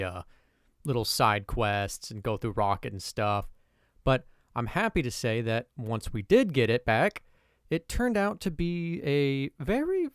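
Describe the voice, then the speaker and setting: A young man hosting a let's play with casual commentary, his voice a little off from allergies, who swears and rants in sudden excited bursts. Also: medium pitch, clear, resonant tone, no echo, studio quality. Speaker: gaming video host.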